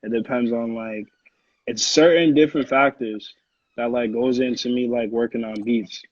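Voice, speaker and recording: A man's voice: mid-range voice, steady tone, moderate pace, dry recording, lo-fi sound. A man in his early twenties with an American accent, chatting casually and in slang as an interview guest. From Connecticut, USA. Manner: slangy, informal. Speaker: music producer, interview guest. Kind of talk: casual chat.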